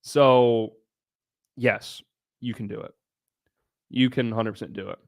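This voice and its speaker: A man's voice: medium pitch, steady tone, slow, no room sound, clean studio sound. A young man from Southern Ohio hosting a casual livestream, answering viewers' questions in a brash, blunt way and swearing freely. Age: young adult. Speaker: livestream host.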